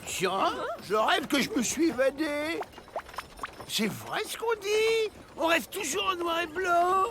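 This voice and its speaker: Very high, dim, dreamy cartoon outlaw. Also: male voice, lively intonation, slow pace, exaggerated voice. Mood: dreamy wonder. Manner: childlike, innocently wondering. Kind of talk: French cartoon dub acting. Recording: loud music behind.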